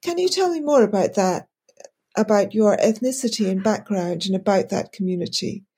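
Soft husky woman host. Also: medium pitch, expressive, normal speed. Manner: polite and inquisitive. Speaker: podcast host. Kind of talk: interview hosting.

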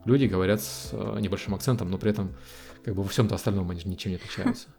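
Quick-talking man with a gravelly voice. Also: low-pitched, steady tone, darkish tone, soft background music. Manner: hesitant, many fillers. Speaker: guest. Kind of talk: casual conversation. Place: living in the United States.

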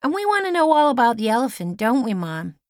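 A woman's voice: a little high, sing-song, fast, a little mellow, no echo, studio quality. A woman voicing a curious, blunt young boy with an eager, questioning manner in an audiobook story.

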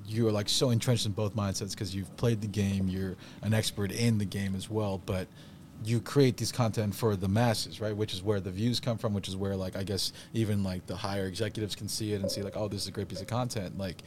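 Rambling low-voiced male host. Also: brisk, a little hiss or hum. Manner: rambling questions full of "like", lively. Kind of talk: casual podcast conversation.

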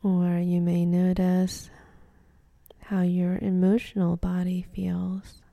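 Very mellow, slow woman guiding meditation. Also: on the low side, monotone, gravelly, no room sound. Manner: gentle, unhurried instruction with pauses. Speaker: meditation guide. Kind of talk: guided meditation.

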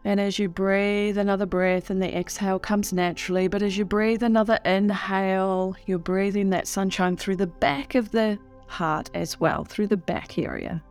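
A woman leading a guided meditation in a soothing, unhurried way with long pauses. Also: mid-range voice, steady tone, darkish tone, faint music behind.

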